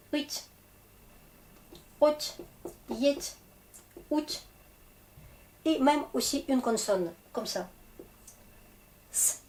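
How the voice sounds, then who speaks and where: A woman with a Russian accent and a cold, teaching a language lesson, slow and patient as she spells out word endings. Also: fairly high pitch, a little mellow. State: has a cold.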